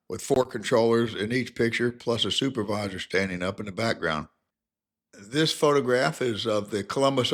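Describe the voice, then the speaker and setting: A man's voice: medium pitch, a bit bright. An older man narrating a scripted biographical documentary in a formal, careful reading manner.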